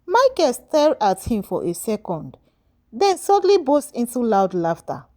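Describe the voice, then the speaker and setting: A woman's voice: fairly high pitch, sing-song, a little slow, a little mellow. A woman narrating a scripted folktale as a storyteller, with dramatic, emphatic delivery.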